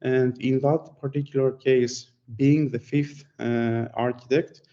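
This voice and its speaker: A man with a Greek accent speaks in a mellow, warm tone. He tells a story in an easygoing, self-deprecating way, the way a conference speaker does. The recording sounds a little dull and lo-fi. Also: medium pitch, flat delivery, a little slow. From Greece. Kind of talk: presentation.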